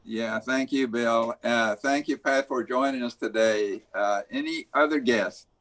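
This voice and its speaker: Man chairing a video-call meeting, slow delivery, halting and filler-heavy. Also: medium pitch, fairly bright tone. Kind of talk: online club meeting.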